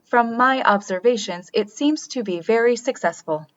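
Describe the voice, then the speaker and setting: An earnest artificial female being from a radio drama, acted by a woman, speaking in a higher register. She is formal and literal, emotionally detached. The recording is slightly dull. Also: moderate pace, a little hiss or hum, natural voice. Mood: polite, curious. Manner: formal, literal, uncontracted phrasing. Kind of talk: audio drama acting.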